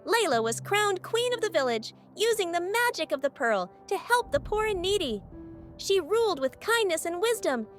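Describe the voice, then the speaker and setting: A woman's voice: extremely high pitch, sing-song, moderate pace, soft background music. A woman narrating a scripted fairy tale as a storyteller.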